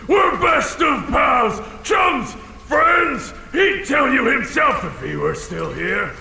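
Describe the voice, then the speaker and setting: A huge old dragon, gravelly and forceful, booming through a cavernous echo. He is boastful and easily wounded, rambling like a chatty theatrical star and swinging into bellows, with big slides in pitch. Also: very animated, slow, exaggerated voice. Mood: confused, defensive. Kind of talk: audio drama acting. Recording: cavernous echo with long reverb, faint noise.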